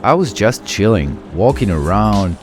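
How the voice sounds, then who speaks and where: A man from Ukraine, narrating a travel and food vlog in a relaxed, storytelling voice-over. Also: fairly low pitch, a little slow. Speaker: food vlogger. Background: background music.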